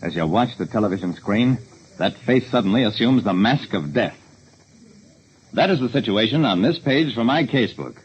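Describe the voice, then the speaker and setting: A man's voice: lowish voice, sing-song, normal speed, husky, highs cut off. An actor playing a terse, observant private detective, narrating his casebook in a clipped, dramatic 1940s radio style on a hissy old recording. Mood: dramatic, brooding. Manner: dramatic, clipped hard-boiled storytelling.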